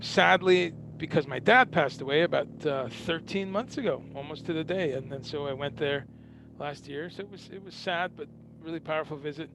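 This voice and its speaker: A man's voice: fairly high pitch, low-quality recording. Rambling man with traffic noise behind. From Guyana. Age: 50s. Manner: rambling, loose and conversational. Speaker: host. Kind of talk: casual talk-show intro monologue.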